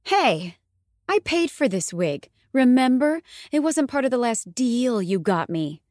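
A woman's voice: on the high side, sing-song, unhurried, a little mellow, dry recording. Frustrated young stage actress, played by a woman: ambitious, emphatic, dramatic audiobook dialogue, swooping intonation, tight dry recording. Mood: brisk.

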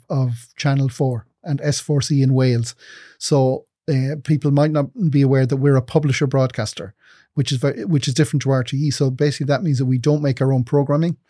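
A man in his fifties, from England, answers at length in an interview. His voice is warm and dark, recorded close with no room sound. He explains things in an articulate, measured way. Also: mid-range voice, no echo. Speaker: broadcasting executive guest.

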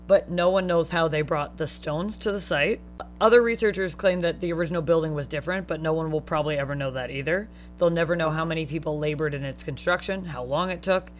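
A woman's voice: sits low, fast talker. Woman, podcast co-host, casual chat, joking asides, reads notes aloud, faint music behind.

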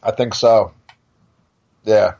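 A man's voice: fairly low pitch, steady tone, slow pace, gravelly, warm tone. A man in his forties talking casually as a podcast guest, wry and rambling, with some swearing. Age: 40s. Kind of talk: casual chat.

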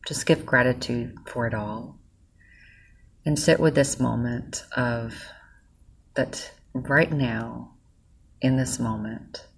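Very low, gravelly woman guiding meditation. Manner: soft, slow and soothing, speaking directly to the listener. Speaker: meditation guide. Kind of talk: guided gratitude meditation.

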